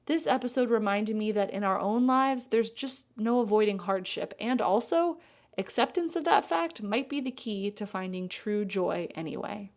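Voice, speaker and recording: A middle-aged woman hosting a parenting podcast, frank and casually profane in relaxed conversation. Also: medium pitch, normal speed. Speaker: podcast host.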